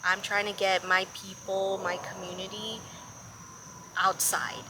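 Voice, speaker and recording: A woman's voice: mid-range voice, little pitch movement, a little slow, noisy background. A Colombian-born woman living in Miami, hosting a podcast and speaking reflectively, with hesitant restarts. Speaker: podcast host. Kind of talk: casual interview conversation.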